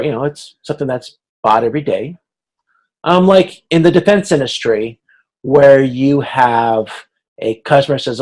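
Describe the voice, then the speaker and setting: A man instructing in an informal online tutorial, thinking aloud in a halting way, correcting himself and checking that he is being followed. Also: medium pitch, darkish tone, husky, rough recording. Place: online video conference.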